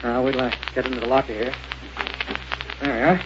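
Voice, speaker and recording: An actor playing a confident, calm space pilot hero in a 1930s radio drama. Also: male voice, medium pitch, a little fast, natural voice. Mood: determined. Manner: calm and assured. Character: brave heroic space pilot, adult man, confident. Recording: dull lo-fi old radio recording with loud hiss.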